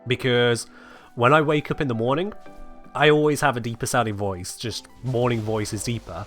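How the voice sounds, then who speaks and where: A man's voice: mid-range voice, steady tone, moderate pace, fairly bright tone. A sick man rambles easily through a live gaming stream, answering chat between coughs. His voice sits in an ordinary middle range. Faint music plays underneath.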